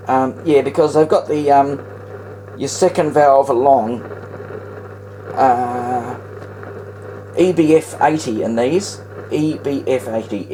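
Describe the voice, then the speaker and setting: Casual New Zealand hobbyist presenter, mid-pitched, meandering hands-on commentary, noisy home-recorded sound. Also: warm tone. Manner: rambling, thinking aloud. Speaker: vintage radio hobbyist and presenter.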